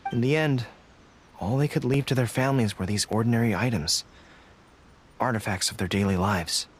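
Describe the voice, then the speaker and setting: An actor playing an earnest, dutiful young army general in an anime video game, speaking formally and with resolve. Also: male voice, mid-range voice, moderate pace, a little hiss or hum, lightly acted. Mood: somber, regretful. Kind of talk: English-dubbed anime video game acting. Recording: game music behind the voice.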